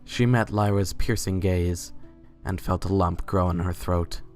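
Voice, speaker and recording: A man's voice: low voice, fairly even intonation, measured pace, a little mellow, faint music behind. A man reading scripted third-person narration for a dramatized story.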